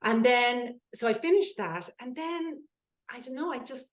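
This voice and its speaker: Lively Irish woman in her forties. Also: fairly high pitch, unhurried. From born in the US, raised mostly in Ireland. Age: 40s. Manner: lively storyteller, acts out quoted exchanges. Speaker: personal trainer, guest. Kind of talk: casual interview chat.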